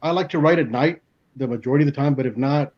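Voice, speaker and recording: A man's voice: medium pitch, quick pace, darkish tone. A man chatting casually about his craft as a guest author on an online panel, over a video call. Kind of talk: casual chat about writing. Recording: dull, lo-fi video-call audio.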